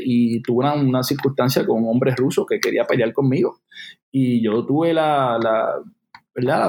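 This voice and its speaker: A man's voice: mid-range voice, a little mellow. A man in his forties from Puerto Rico with a Puerto Rican accent, speaking as an interview guest in an earnest, reflective way, thinking aloud with restarts. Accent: Puerto Rican Spanish. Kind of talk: conversational interview.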